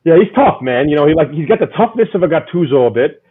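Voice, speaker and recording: A man hosting a casual fan chat, loud and rambling, often repeating his words. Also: on the high side, lively intonation, quick pace, slightly rough, narrow, tinny audio. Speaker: podcast host.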